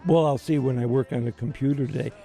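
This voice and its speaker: Older male radio host, gravelly and mellow, meandering delivery. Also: medium pitch, fast talker. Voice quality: slightly raspy voice. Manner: rambling, restarts often, laughs easily. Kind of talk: casual on-air chat.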